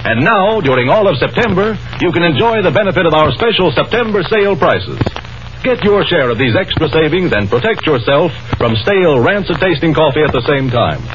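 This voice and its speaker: A man's voice: on the high side, very animated, brisk. An American man working as a radio announcer, reading a 1930s commercial in an emphatic, persuasive salesman delivery. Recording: muffled old 1930s radio broadcast.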